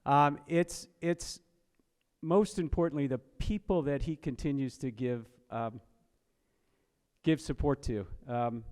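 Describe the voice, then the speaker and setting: Halting man introducing an honoree, echoey hall. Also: mid-range voice, a little mellow, clean tone. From Massachusetts, USA. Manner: halting, repeats words, earnest. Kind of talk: speech at a reception. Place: banquet hall. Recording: reverberant hall.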